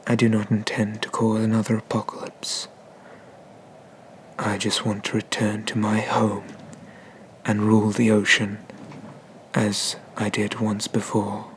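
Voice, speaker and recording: A man playing an ancient, haughty but merciful dragon god in an ASMR roleplay, speaking formally and deliberately, with breathy whispers. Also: lowish voice, monotone, a little hiss or hum. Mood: musing, softening.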